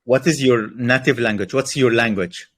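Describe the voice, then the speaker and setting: A man's voice: mid-range voice, normal speed, a bit bright. A man pressing questions in a live online call, repeating and rephrasing until he gets an answer. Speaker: AMA questioner.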